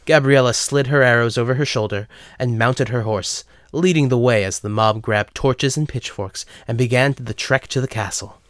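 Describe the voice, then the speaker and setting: A man's voice: mid-range voice, no echo, studio quality. A man narrating a scripted dramatic story as the narrator.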